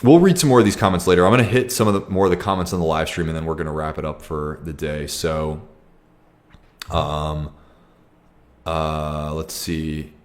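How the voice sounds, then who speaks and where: A young man hosting a casual livestream Q&A, talking reflectively and haltingly with many ums and restarts. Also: a bass voice, fairly even intonation, slight background noise. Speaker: livestream host. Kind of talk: casual livestream Q&A, reading comments.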